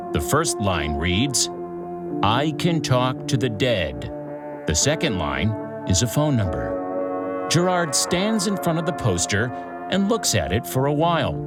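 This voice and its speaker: An actor playing a theatrical true-crime show host, narrating in short, deliberate sentences with suspenseful pauses. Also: male voice, medium pitch. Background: music.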